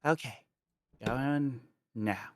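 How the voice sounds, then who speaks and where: A man's voice: medium pitch, drawn-out words. A man talking casually and playfully as a guest on a livestream. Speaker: streamer guest, poet.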